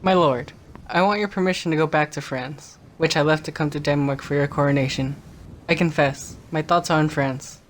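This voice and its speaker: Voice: mid-range voice, moderate pace, a little hiss or hum, close to an everyday voice. A performer playing an earnest member of a royal court, politely entreating in modernised stage drama dialogue. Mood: pleading.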